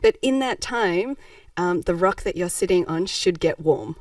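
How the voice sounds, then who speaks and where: A woman's voice: fairly low pitch, expressive, measured pace, a little mellow, no room sound. A Japanese-born woman, reflecting thoughtfully as a podcast guest and laughing often. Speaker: guest, social enterprise founder. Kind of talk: conversational podcast interview. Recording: dry, slightly distant mic.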